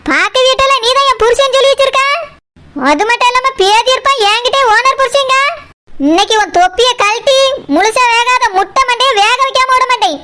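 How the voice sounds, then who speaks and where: A woman's voice: very high-pitched, brisk, heavy background noise. A woman voicing a haughty, scolding, boastful village woman in a comic cartoon, with a Kanyakumari Tamil accent. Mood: outraged, threatening. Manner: scolding, self-important. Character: haughty, fiery, boastful village woman who owns property.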